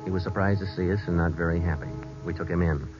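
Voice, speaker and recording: An actor playing a terse, deadpan male police sergeant who narrates an old-time radio detective drama. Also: low-pitched, quick pace, natural voice. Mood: matter-of-fact narration. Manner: clipped, deadpan. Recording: dull vintage radio recording, music behind.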